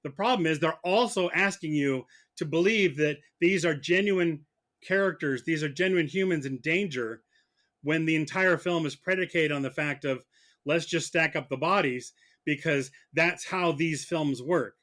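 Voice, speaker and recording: A man arguing as a mock prosecutor in a podcast debate, halting and repeating words as he presses his point. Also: a little high, a bit bright. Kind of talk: comic debate on a film podcast.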